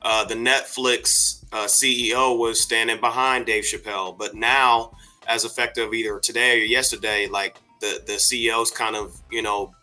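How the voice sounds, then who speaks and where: A man's voice: fairly low pitch, little pitch movement, normal speed, sharp tone, faint music behind, poor audio. A man hosting a podcast, talking casually in a meandering way with lots of fillers and restarts. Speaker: podcast host. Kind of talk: casual discussion.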